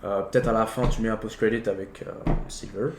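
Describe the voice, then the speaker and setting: A man's voice: on the low side, steady tone, brisk. Young man, slangy chat in an echoey room. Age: young adult. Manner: slangy, peppered with English words. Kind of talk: casual chat about films. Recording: roomy echo, faint background noise.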